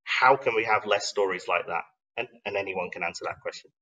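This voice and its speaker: A man's voice: lowish voice, a little fast, a bit bright, recorded from a distance, dull audio. A middle-aged man giving a live online slide talk in an explanatory, conversational way, thoughtful and emphatic, often posing questions. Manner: reflective, emphatic phrasing with rhetorical questions. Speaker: speaker presenting an online talk.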